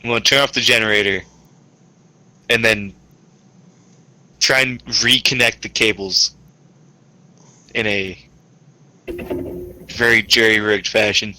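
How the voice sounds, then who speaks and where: A man's voice: fairly low pitch, fairly even intonation, slow, long pauses, pushed and bright, low-quality recording. A man playing a tabletop game, chatting casually and teasing the other players.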